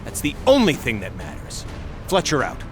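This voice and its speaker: An actor playing a gruff, embittered, loyal soldier in a video game, talking bluntly and colloquially. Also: male voice, mid-range voice, huge pitch swings, moderate pace, heavy background noise. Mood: bitter, distrustful. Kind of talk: video game cutscene acting.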